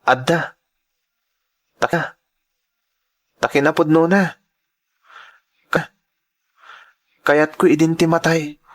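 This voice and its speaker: Earnest, grateful young male student, played by a man, Ilocano accent, slightly high register, heartfelt drama delivery. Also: fairly even intonation, slow, long pauses. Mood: solemn, heartfelt. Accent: Filipino, Ilocano. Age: young adult. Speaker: drama actor. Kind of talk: sentimental radio-style drama acting.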